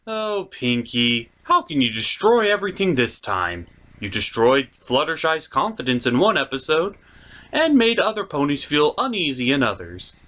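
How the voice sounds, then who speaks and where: A man hosting a scripted comedic cartoon review, speaking in a sarcastic, theatrical way as a snarky gentleman critic. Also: medium pitch. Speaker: host of a cartoon review show.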